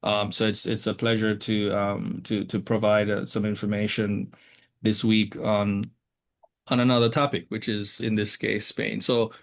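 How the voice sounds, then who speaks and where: A man hosting an interview, speaking haltingly with many fillers and repeated words. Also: lowish voice, level tone, vocal fry. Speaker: host. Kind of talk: casual interview.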